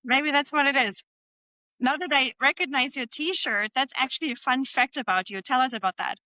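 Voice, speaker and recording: Bright, clear-voiced German-accented woman podcaster. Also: high voice, moderate pace, no room sound, rough recording. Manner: gushing, slangy and sweary. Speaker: podcast host. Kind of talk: casual podcast chat.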